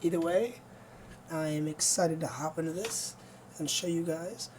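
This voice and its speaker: A gaming streamer with a hoarse, nearly lost voice, chatting casually and rambling to viewers before playing. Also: mid-range voice, measured pace, a little mellow, a little hiss or hum. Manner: rambling, easygoing, many fillers.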